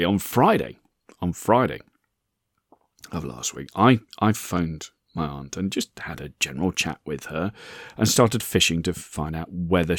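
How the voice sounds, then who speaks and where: A hoarse man in his sixties, hosting a podcast and telling a rambling personal story with many repeated words. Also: deep voice, dry recording, clean studio sound. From England. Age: 60s. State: hoarse, croaky voice. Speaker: podcast host. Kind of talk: casual anecdotal monologue.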